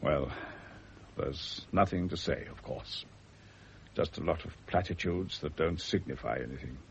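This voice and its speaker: Grave, deep-voiced doctor, muffled recording. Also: male voice. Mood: resigned. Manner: measured, formal, authoritative. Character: grave, world-weary family doctor, stern but discreet. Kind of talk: radio drama acting. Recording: muffled, noisy old radio recording.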